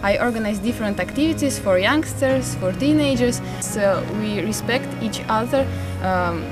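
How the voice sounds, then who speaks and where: Young Latvian student, loud music behind. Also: female voice, on the high side, animated, unhurried. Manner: hesitant, searching for words. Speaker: pedagogy student and youth centre helper. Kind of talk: documentary interview. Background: background music.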